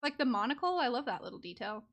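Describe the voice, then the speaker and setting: A woman streaming a video game with casual live commentary, giggly and excitable in her reactions. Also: a little high, fast talker.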